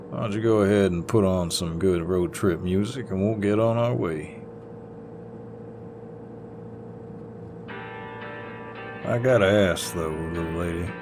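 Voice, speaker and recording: Deep, slow-drawling Southern man, acted. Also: over music. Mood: easygoing, curious. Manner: slow, gentlemanly drawl with folksy phrasing. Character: easygoing, chivalrous Southern redneck boyfriend, teasing and devoted. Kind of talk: intimate boyfriend audio roleplay, acted to the listener.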